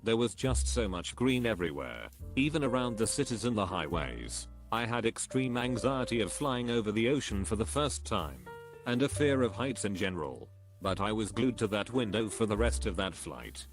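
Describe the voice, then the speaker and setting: Brief: low male narrator reading posts, flat and even, music underneath. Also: moderate pace. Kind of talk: scripted narration. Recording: voice-over with clear background music. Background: music bed.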